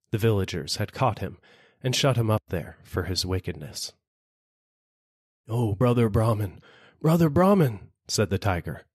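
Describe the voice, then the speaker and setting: A man narrating a children's storybook in a gentle, explanatory way. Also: low-pitched, no echo, clean studio sound. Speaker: audiobook narrator. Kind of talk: storybook reading.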